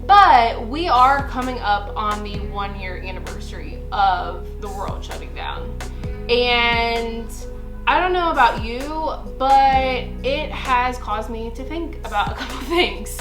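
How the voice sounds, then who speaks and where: A woman's voice: fairly high pitch, expressive, measured pace. Chatty upbeat woman in her twenties over music. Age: 20s. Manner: chatty and upbeat, laughs often. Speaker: vlogger. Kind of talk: casual personal vlog. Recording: music bed under the voice.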